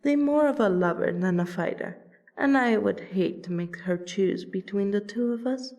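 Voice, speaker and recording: A woman voicing a proud, protective female vampire princess in an audio roleplay, with wry, deliberate, theatrical phrasing. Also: mid-range voice, darkish tone, lightly acted. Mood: firm, bargaining. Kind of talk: ASMR audio roleplay acting.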